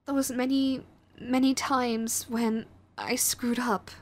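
Woman acting a high-voiced, clingy sweet yandere girlfriend. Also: level tone, slow. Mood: sad, hesitant. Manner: cutesy, hesitant, gushing pet names. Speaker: voice actress. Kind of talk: intimate audio roleplay acting.

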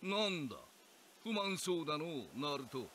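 Casting: a folksy old ninja master, bright and expressive. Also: male voice, on the high side, moderate pace, a little hiss or hum. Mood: teasing. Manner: old-fashioned folksy speech endings. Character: old jovial male ninja sage, teasing. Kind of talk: game cutscene voice acting.

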